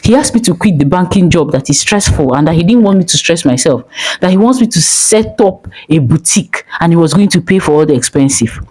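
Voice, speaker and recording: A woman doing a gentle gushing wife. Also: fairly low pitch, animated, brisk, breathy edge, rough recording. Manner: gushing, playful. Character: gentle loyal young wife, soft-souled.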